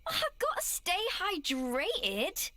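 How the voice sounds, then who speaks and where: Casting: cocky, playful young sellsword woman for a game, high-pitched, lively swings. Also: slow pace, no room sound, heavily acted. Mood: cocky, taunting. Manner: sarcastic, playful. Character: brash, cheeky young female mercenary. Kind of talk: video game cutscene acting.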